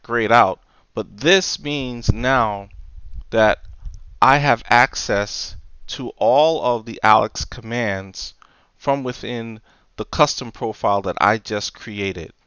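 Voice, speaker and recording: A man giving a casual software tutorial, explaining things step by step, close on the mic in a voice-over recording. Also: mid-range voice, clean tone, dry recording, highs cut off. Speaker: tutorial presenter.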